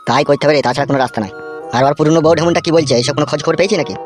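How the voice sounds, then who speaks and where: A man voicing a domineering, foul-mouthed village gang boss in a comedy cartoon, gruff and demanding. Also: fairly high pitch, racing, soft background music, natural voice. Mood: pondering, scornful.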